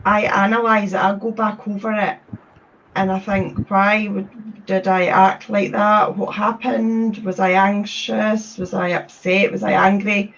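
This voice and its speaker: A woman's voice: medium pitch, little pitch movement, unhurried, creaky, fairly bright tone, slight background noise. A woman, a guest speaking earnestly and emphatically over a video call. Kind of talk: casual interview chat. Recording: distant, dull video-call audio.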